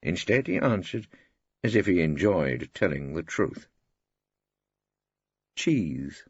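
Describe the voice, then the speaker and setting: A man's voice: sits low, animated, normal speed, breathy edge, no echo. A middle-aged English man reading first-person fiction aloud in a wry, theatrical storytelling manner, on a slightly dull recording. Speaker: audiobook narrator.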